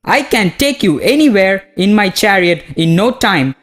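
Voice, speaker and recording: A woman voicing a confident, boastful young prince in a children's animated story. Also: mid-range voice, moderate pace, lightly acted. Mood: proud, confident.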